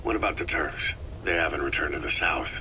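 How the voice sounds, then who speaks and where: An actor playing a gruff, terse wounded soldier in a video game, with a low, gravelly voice. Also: male voice, a little fast, sharp tone, a little hiss or hum, full character voice, poor audio. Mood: guarded, questioning. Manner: terse, short questions. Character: gruff, terse wounded soldier just back from long coma.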